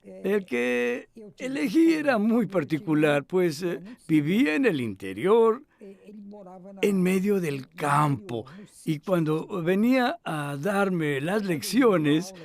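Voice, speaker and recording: An older man being interviewed, speaking in an unhurried, reflective way with hesitant fillers. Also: high-pitched, very animated, gravelly.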